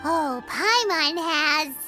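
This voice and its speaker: Tiny childlike game fairy, extremely high squeaky voice, swooping intonation, cheerful, chatty, cartoonish. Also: female voice, lively intonation, drawn-out words, full character voice. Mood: curious, chatty. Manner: perky, refers to self in third person. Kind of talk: cartoonish video game voice acting. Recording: loud tinkling game music behind.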